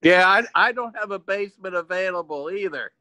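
A man's voice: sits high, fairly quick, bright, forceful tone. A man chatting casually as a club member in an online meeting. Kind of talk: casual chat.

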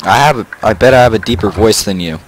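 Giggly teenage boy with a low voice. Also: quick pace, slight background noise, low-quality recording. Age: teens. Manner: goofy, giggly, teasing. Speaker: gamer recording gameplay. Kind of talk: casual gameplay chat.

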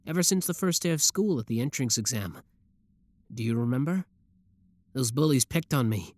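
A man's voice: medium pitch, expressive, normal speed, darkish tone, no room sound, close to an everyday voice. Man acting a lovestruck obsessive schoolboy villain. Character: shy schoolboy turned smug, obsessive yandere young villain. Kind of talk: roleplay audio acting, addressed to the listener.

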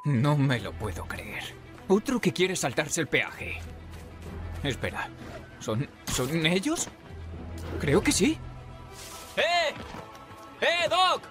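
A man's voice: on the high side, very animated, slow pace, pauses often, music in the background. A man with a Castilian Spanish accent doing comic game dubbing as a sarcastic, exasperated, wisecracking superhero who swears theatrically. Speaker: video creator dubbing game bugs.

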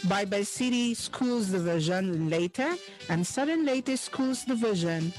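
A woman's voice: medium pitch, expressive, measured pace, darkish tone, faint music behind. A woman with a Filipino accent reading scripted promotional narration as a radio announcer.

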